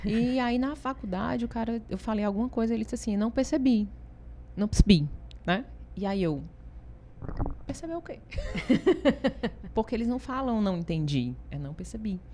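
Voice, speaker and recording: A woman from Ceará, Brazil, speaking with a Northeastern Brazilian accent as an interview guest, telling stories in an animated way, laughing often and asking "né?" a lot. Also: on the high side, moderate pace, long pauses, a little hiss or hum. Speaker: interview guest, consultancy founder. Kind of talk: casual interview talk.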